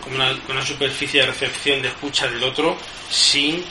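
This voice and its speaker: A man, himself a poet, musing in a halting, self-deprecating way while posing questions to a fellow poet. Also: mid-range voice, fairly even intonation. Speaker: poet, interviewer. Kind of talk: literary conversation. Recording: echoey room, noisy background.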